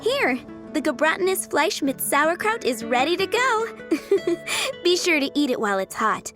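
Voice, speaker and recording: An actress playing a kind, gentle restaurant owner in a video game, speaking earnestly. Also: female voice, high voice, sing-song, measured pace, music in the background.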